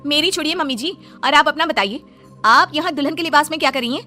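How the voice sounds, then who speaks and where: Woman acting an indignant, high-pitched young housewife. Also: fast talker, sharp tone, faint music behind. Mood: indignant, scolding. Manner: scolding, dramatic, gossipy. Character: indignant, prudish married woman and daughter-in-law.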